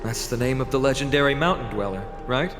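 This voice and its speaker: A man's voice: mid-range voice, fast, in a large hall, close to an everyday voice. Earnest young hero, played by a man, music behind. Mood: earnest, thoughtful. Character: earnest, loyal young male hero and comrade. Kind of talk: video game dialogue acting.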